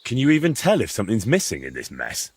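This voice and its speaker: Blunt, husky young medieval adventurer. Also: male voice, lowish voice, wildly swooping pitch, fast, a little mellow, no room sound, full character voice. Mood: wry. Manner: blunt, questioning. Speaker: video game protagonist. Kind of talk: game cutscene dialogue.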